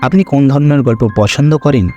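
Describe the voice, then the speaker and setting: A man's voice: mid-range voice, moderate pace, dark tone, highs cut off. A man presenting in a scripted style for a story channel. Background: music.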